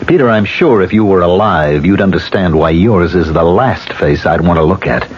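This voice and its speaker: A man's voice: deep voice, normal speed, close to an everyday voice. An actor playing a rattled, disbelieving, haunted man in a 1970s radio drama. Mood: uneasy. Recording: dull, faintly noisy vintage radio recording.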